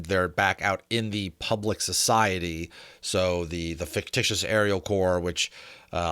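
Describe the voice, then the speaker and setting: A man's voice: fairly low pitch, a bit bright, no echo, clean studio sound. A man hosting a book reading, chatting informally and enthusiastically with rambling asides. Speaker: narrator, host of dramatic book readings. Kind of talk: chatty commentary and dramatic audiobook reading.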